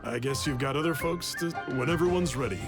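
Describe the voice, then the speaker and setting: A man's voice: mid-range voice, brisk, natural voice. An actor playing a plain-spoken, loyal young townsman who cooks, talking earnestly in a video game. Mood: obliging. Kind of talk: English video game voice acting. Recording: music behind the voice.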